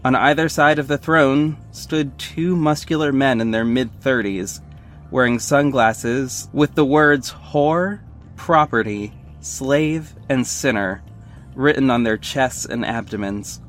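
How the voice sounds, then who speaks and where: A man's voice: medium pitch, slow pace, natural voice. A man playing a wry young superhero who narrates his own mission in scripted first person. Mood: descriptive, wry. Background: music bed.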